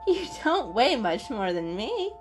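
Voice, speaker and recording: A woman's voice: fairly high pitch, sing-song, a little slow, faint music behind. A woman voicing a cute, playful young female cat, bubbly, kind and reassuring, in a children's story. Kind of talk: dramatic children's story reading.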